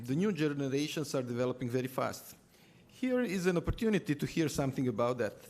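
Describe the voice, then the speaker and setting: A man's voice: mid-range voice, animated, quick pace, a little mellow. A man, the moderator, formally introducing the next speaker at a conference. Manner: formal, announcing. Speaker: event host, moderator. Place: conference hall.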